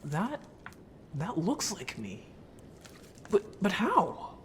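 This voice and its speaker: A man's voice: sits high, huge pitch swings, extremely slow, slight background noise, full character voice. A man playing an earnest, sensitive merman in an audio drama, hesitant and emotional in delivery. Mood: shaken, wistful. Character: earnest, sensitive merman haunted by childhood friendship.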